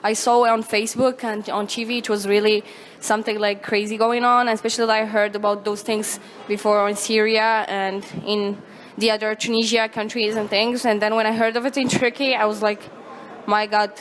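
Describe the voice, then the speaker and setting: A woman's voice: mid-range voice, level tone, normal speed, slight background noise. A young woman from Morocco giving casual, rambling interview answers. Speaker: student interviewee.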